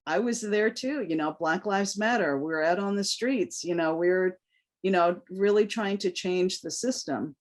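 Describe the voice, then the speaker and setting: A woman's voice: lowish voice, measured pace. A woman from New York whose first language is Cantonese, a guest giving a candid, impassioned interview answer. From New York, United States. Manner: earnest, impassioned.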